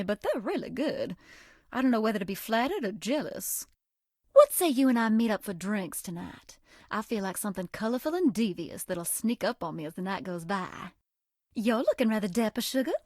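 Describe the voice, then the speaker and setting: Woman doing a flirty sweet southern belle for a dating game, higher voice, coy n folksy, very dry recording. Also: dry recording, close to an everyday voice. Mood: chatty, teasing. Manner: coy, folksy, old-fashioned endearments. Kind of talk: dating game character voice acting.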